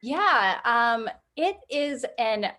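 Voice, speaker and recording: Slow-paced woman guest. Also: a little high, expressive, bright, forceful tone. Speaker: comic book writer, podcast guest. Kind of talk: casual interview talk.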